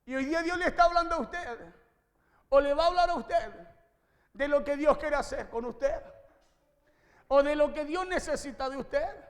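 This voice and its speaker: A male preacher delivers an impassioned sermon in a very high, raised voice. He exhorts his listeners directly, hammering phrases home through repetition. Long reverb from the hall trails his words. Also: normal speed, pauses often. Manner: exhorting, repeats phrases for emphasis. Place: large reverberant room, preaching to a congregation.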